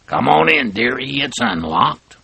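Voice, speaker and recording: A man's voice: medium pitch, sing-song, a little slow, gravelly, pushed and bright, slightly rough, no echo, voice pushed up, exaggerated voice. A man voicing a sly big bad wolf posing as grandma, coaxing with pet names. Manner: sweet-talking with pet names.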